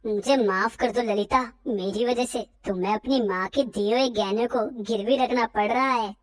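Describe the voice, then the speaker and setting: Woman doing a meek young cartoon husband, soft and sorry, still kinda high, dry close sound. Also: normal speed, no room sound, close to an everyday voice. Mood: apologetic. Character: poor young husband, humble and apologetic. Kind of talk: cartoon story dialogue.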